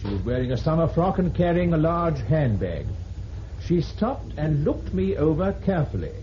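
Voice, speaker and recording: A man's voice: on the high side, very animated, normal speed. A man playing a formal British Crown Prosecutor in a vintage radio drama, reading aloud to a court in a declamatory way. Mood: formal, deliberate. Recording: muffled old radio recording, faint hiss.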